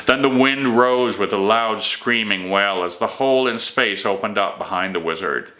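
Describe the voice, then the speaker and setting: A man's voice: on the low side, normal speed, bright, forceful tone. A man, an author, reading his fantasy novel aloud as dramatic scripted narration.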